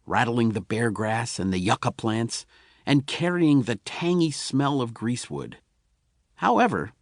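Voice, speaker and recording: Male audiobook narrator for kids' folklore, mid-pitched, very lively intonation, folksy delivery. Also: dry recording, studio quality. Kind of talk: scripted storytelling narration of a children's tall tale.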